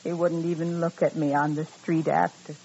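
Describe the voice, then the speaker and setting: A woman's voice: low-pitched, flat delivery, moderate pace, vocal fry, a little mellow, breathy edge, heavily acted. An actress playing a crazy, spurned frontier woman in an old radio western, brooding and defiant, with rustic, repetitive speech. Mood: bitter, wounded. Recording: dull, noisy old radio recording.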